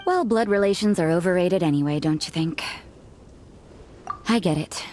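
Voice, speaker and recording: A woman's voice: fairly low pitch, normal speed, darkish tone, slight background noise, close to an everyday voice. A woman playing a cool, composed, wry fighter with a teasing manner in a video game. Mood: reflective, wry.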